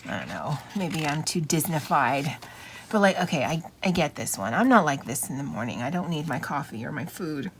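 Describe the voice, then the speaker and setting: Lowish-voiced woman musing casually over background noise. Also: normal speed. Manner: musing, informal, wry asides. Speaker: craft channel host. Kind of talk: casual chatty flip-through commentary. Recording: clear steady background noise.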